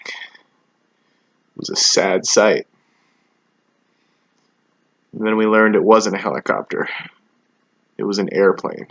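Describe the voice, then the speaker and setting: An actor playing a haunted security guard giving halting, stammering eyewitness testimony of a disaster in a dramatic monologue. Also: mid-range voice, husky, dull audio.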